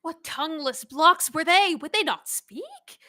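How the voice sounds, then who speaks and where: A woman's voice: very high voice, lively intonation, unhurried, pushed and bright, dry recording, clean studio sound, heavily acted. A student actress playing a scheming, wrathful male would-be king in a Shakespearean radio play, theatrical and commanding. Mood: impatient. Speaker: student actor.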